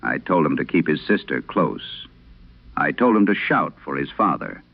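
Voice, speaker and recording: A man narrating a scripted vintage radio horror tale in the first person, in a grave, measured, gravelly voice. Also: extremely low pitch, big pitch swings. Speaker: narrator of a horror audio drama. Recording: muffled, band-limited vintage radio sound.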